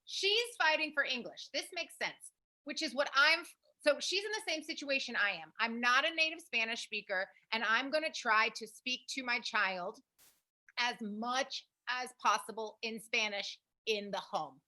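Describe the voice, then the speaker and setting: High, bright-voiced American woman, emphatic. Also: moderate pace. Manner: animated, emphatic, repeats points. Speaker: host, language program founder. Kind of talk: casual advice discussion.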